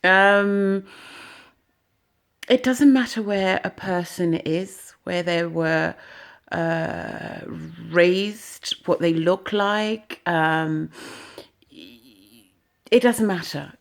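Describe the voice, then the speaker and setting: A young adult woman from Uganda speaking earnestly to camera in her first video, pausing often with fillers. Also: lowish voice, vocal fry. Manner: earnest, halting, many fillers. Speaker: vlogger. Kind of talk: personal video commentary.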